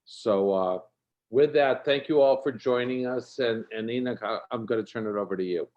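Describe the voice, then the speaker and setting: A man's voice: mid-range voice, normal speed. A man introducing a guest speaker on a video call, reading a biography in a friendly, hesitant way. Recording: dull lo-fi video call audio.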